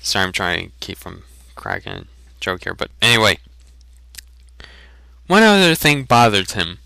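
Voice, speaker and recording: A man giving a deliberate, theatrical reading of a fantasy story as a narrator. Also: mid-range voice, bright, forceful tone, smooth, dry recording. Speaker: reader of a fantasy novel. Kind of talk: dramatic audiobook reading with joking asides.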